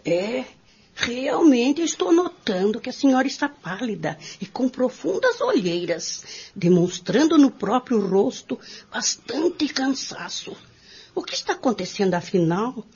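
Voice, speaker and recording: Woman doing a worried nosy friend in a radio play, high raspy voice, swoopy, muffled. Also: sing-song, unhurried, darkish tone, exaggerated voice. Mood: concerned. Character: concerned, inquisitive lady visiting her friend. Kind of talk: radio drama acting. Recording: dull lo-fi radio play recording.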